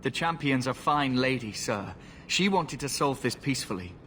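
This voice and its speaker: A man's voice: lively intonation, normal speed, fairly bright tone, natural voice. A man playing an anxious, guilt-ridden young mage in a fantasy game, hesitant and pleading. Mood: earnest. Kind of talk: fantasy video game acting. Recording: clear background rumble and noise.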